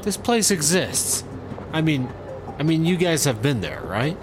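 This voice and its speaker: A man's voice: a little high, big pitch swings, measured pace, noisy background. A man playing an anxious, earnest leader of misfit travelers in a sci-fi comedy audio drama, hesitant and pleading. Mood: worried, pleading.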